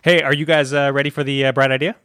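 A man's voice: mid-range voice, flat delivery, quick pace, a bit bright, smooth, no echo, clean studio sound. Chatty man hosting a podcast. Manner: chatty, conversational, many fillers. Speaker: podcast host. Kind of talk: podcast interview.

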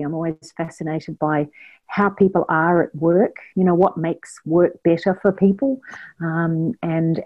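Mellow New woman on a dull line. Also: fairly low pitch. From New Zealand. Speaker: psychologist and interview guest. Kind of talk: interview answers.

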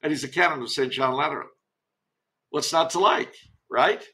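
An American man giving conversational commentary as a podcast guest, with a wry, emphatic manner and a creaky voice. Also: on the high side, normal speed, bright, forceful tone. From United States. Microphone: remote internet call.

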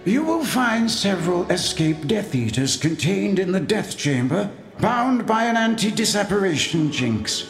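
A calm, commanding old wizard, put on by a male narrator. He speaks slowly and gravely in a raised, lively voice. A roomy echo surrounds him. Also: high-pitched, faint music behind, voice pushed up, heavily acted. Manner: measured, grave.

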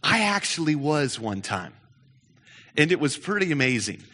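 A man preaching a sermon, telling stories in an animated way, with frequent restarts and repeated words. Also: on the high side, moderate pace. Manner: animated storytelling, many restarts. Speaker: pastor. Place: church sanctuary. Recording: church hall reverb.

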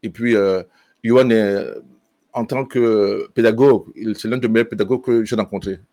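A man, a bass student, telling his teacher in an interview why he joined the course, with many fillers and restarts. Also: steady tone, normal speed, warm tone, no echo. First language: French. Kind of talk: testimonial interview.

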